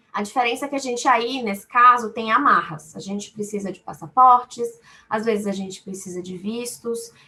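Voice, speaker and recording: A woman's voice: fairly high pitch, sharp tone, slight background noise. A woman with a Salvador Brazilian accent giving an unscripted explanatory webinar talk in a didactic way. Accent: Brazilian, Salvador (Bahia). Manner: didactic, explains with everyday examples and repeated phrasing. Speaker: panel speaker, law firm lawyer.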